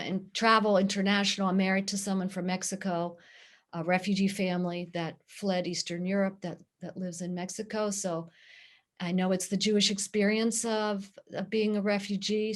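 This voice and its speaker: A middle-aged woman with a Pittsburgh accent, answering interview questions in an earnest, steady way. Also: mid-range voice, moderate pace. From Pittsburgh, USA. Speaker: guest, nonprofit director.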